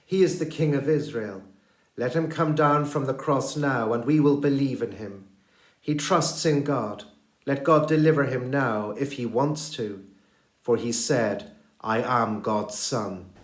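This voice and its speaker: A man's voice: medium pitch, measured pace, lightly acted. Echoey mocking priest read by a man. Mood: mocking, scornful. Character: mocking passers-by and priests at the crucifixion. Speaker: clergy reader. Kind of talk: dramatised scripture reading. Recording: echoey room.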